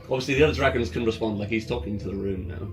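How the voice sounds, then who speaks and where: A man's voice: on the low side, very fast. A man talking casually and encouragingly as the game master of a tabletop roleplaying session, often joking. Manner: jokey, encouraging. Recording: background music under the talk. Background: ambient music.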